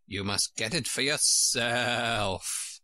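A man voicing an old female sheep who keeps a shop, speaking slowly in a clipped, matter-of-fact way. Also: mid-range voice, pushed and bright, no room sound. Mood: curt. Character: old female sheep shopkeeper, curt and odd.

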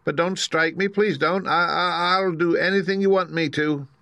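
A man's voice: mid-range voice, moderate pace, pushed and bright, no room sound, pitched above the performer's own voice. A man voicing a meek, pleading little old fake wizard with a trembling voice, in a storybook reading. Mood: frightened, pleading.

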